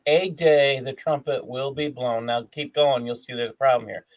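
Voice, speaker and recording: A man with a medium-pitched voice who talks like an educator. He explains each point step by step in a casual, conversational way. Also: steady tone, moderate pace, rough recording. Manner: explanatory, like a teacher walking through a grammar point. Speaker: co-host, educator. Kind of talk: casual critical discussion.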